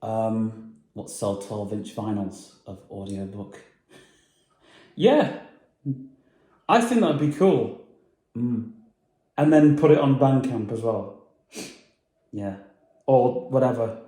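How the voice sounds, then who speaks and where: A man talks loosely through his vlog, trailing off and filling gaps with hums and ums. His voice sits at a middle pitch with a soft, mellow tone. The room around him rings with echo. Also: sing-song, slow, pauses often. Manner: rambling, hesitant, many fillers. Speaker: vlogger. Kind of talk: casual chat.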